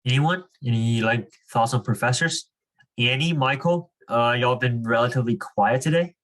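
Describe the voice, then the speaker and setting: A man's voice: medium pitch, moderate pace, fairly bright tone. An encouraging, informal man leading a reading club, reading aloud and chatting with students. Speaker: reading club leader and coach.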